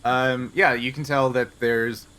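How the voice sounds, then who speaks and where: Bright-voiced man running a tabletop game. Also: medium pitch, flat delivery, measured pace, clean tone. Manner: conversational, explanatory. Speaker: game master. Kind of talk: improvised tabletop game narration.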